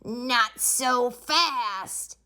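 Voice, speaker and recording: A woman voicing a mean, stern substitute teacher with a slow, curt, hissing manner in a storybook. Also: on the high side, sharp tone, voice pushed up, heavily acted. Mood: menacing, stern.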